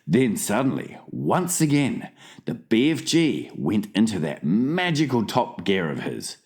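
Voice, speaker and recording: A man's voice: lowish voice, wildly swooping pitch, a little slow, a little mellow. A man reads as an audiobook narrator. His delivery is dramatized storybook narration, telling the tale in scripted prose.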